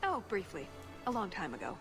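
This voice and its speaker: A woman's voice: mid-range voice, wildly swooping pitch, a little fast, over music. An actress playing a breezy, evasive young female adventurer with light, polite speech in a video game. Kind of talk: video game dialogue acting.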